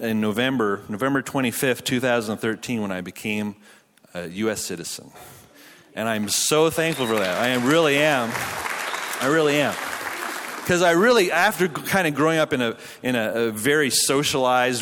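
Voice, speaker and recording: In a big hall with long reverb, a Canadian man speaks at a middling pitch. He preaches like a pastor chatting with his congregation, informal and self-deprecating, with a joking streak. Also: fairly bright tone. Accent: Canadian English. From Quebec, Canada. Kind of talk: conversational sermon to a live audience. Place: large hall, speaking to an audience.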